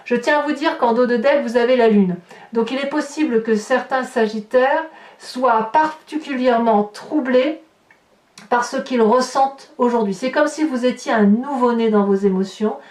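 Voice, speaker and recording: A woman with a fairly high voice talks viewers through an improvised tarot reading. She addresses them directly and expressively. The sound is very roomy, with the microphone some way off. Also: moderate pace, a little mellow. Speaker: tarot reader hosting a horoscope video. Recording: roomy echo, distant microphone.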